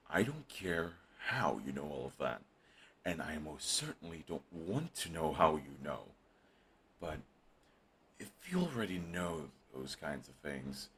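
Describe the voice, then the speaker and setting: A man's voice: sits low, a bit bright, a little hiss or hum, exaggerated voice. A man voicing a grumpy, sarcastic male supervillain who dismisses an old rival in an audio roleplay drama. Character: snarky, grumpy male supervillain, middle-aged. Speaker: voice actor.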